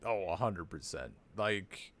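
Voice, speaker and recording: A man co-hosting a podcast, chatting casually and excitably, with jokes and plenty of swearing. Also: low voice, slow pace. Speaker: podcast co-host. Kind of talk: casual unscripted chat about a cartoon series.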